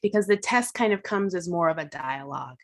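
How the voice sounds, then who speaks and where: A woman instructor walks colleagues through her course in an online workshop. Her voice sits a little low, and her talk is casual and thinking-aloud, with fillers and small restarts. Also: expressive, fairly quick. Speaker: instructor presenting at a teaching workshop. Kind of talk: workshop presentation.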